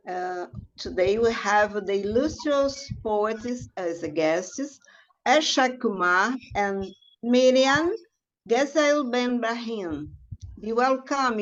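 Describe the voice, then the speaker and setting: A woman hosting an online poetry show in a formal, courteous and somewhat halting way. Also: fairly high pitch. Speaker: show host. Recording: dull lo-fi video call.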